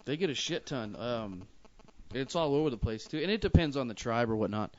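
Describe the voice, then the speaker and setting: A man's voice: mid-range voice, expressive, brisk, darkish tone. A man hosting a casual comedy chat, loose and joking with plenty of swearing and fillers. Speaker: host. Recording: dull lo-fi live stream audio.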